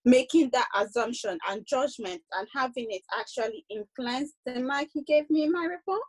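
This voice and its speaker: A young woman speaking on a discussion panel, reflective and hesitant, with frequent pauses and restarts. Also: high-pitched, breathy edge, rough recording. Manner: hesitant, many ums and restarts.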